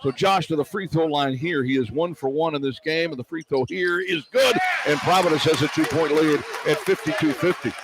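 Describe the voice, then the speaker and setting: Higher-voiced male basketball play-by-play announcer, noisy arena. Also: a little fast, hardly any pauses. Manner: clipped running description of the action. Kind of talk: live basketball play-by-play commentary. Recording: noisy live arena broadcast. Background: arena crowd ambience.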